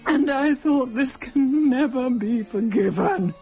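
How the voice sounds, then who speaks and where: An actress playing a starving, embittered village widow and mother near death in an audio drama, speaking mournfully and solemnly with a husky voice. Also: high voice, expressive, slow, a little mellow, lo-fi sound, faint music behind, exaggerated voice. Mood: bitter despair.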